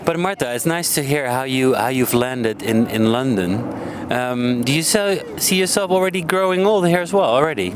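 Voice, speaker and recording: A man chats casually as an interview host. He is recorded outdoors in a city park, with clear ambient noise around his voice. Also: medium pitch, lively intonation, moderate pace, few pauses. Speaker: podcast host. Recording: noisy outdoor urban ambience. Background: clear park and traffic noise.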